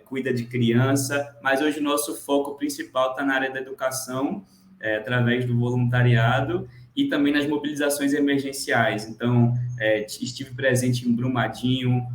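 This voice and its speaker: A man in his twenties from the interior of Bahia with a Bahian and Pernambucan accent, earnestly introducing himself as a social entrepreneur on a video call. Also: medium pitch. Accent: Bahian and Pernambucan Portuguese. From interior of Bahia, Brazil. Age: 20s. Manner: earnest, with many "é" fillers. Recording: roomy sound with faint background noise.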